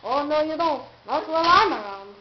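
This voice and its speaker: A woman's voice: high-pitched, lively intonation, measured pace. A woman reading aloud and voicing a small, feisty old man of the woods who talks in an indignant, blustering way. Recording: roomy, dull, noisy home recording.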